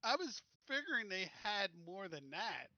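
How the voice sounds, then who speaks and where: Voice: mid-range voice, big pitch swings, moderate pace, sharp tone, no room sound, muffled recording. A tabletop roleplaying player speaking casually, joking around and stumbling over words.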